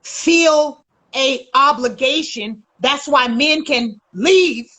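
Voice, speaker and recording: A woman relationship coach in a casual conversation, loud, emphatic and blunt, swearing freely. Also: high-pitched, slow.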